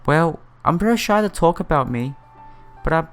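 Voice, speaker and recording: Man playing a shy, sweet schoolgirl, pitched up. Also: expressive, normal speed, soft background music. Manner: bashful, gentle. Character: shy, sweet young schoolgirl with a friendly smile. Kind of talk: visual novel character read aloud.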